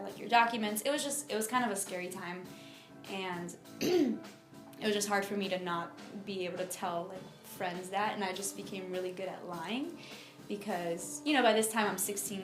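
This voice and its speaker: A young adult woman from Miami telling her personal story in an interview, speaking informally and haltingly with lots of filler phrases. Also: medium pitch, reverberant. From United States (Miami, Florida). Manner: informal, halting, frequent "like" and "you know".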